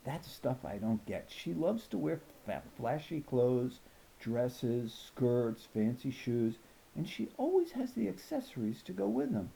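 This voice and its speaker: A man reading a children's novel aloud as an expressive first-person narrator. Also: mid-range voice, measured pace, gravelly, slight background noise, low-quality recording. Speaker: audiobook reader.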